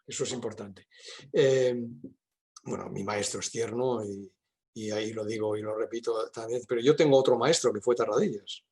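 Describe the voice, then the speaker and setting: A man's voice: lowish voice, moderate pace, mellow. An older man from Catalonia with a Spain Spanish accent, a professor answering questions informally in a discursive, emphatic way, full of little question tags. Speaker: university professor and guest speaker.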